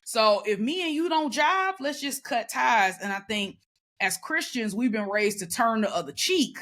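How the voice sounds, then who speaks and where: Woman, loud and emphatic, sassy, a bit higher voice, casual chat. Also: lively intonation, moderate pace. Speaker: podcast guest. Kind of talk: casual conversation.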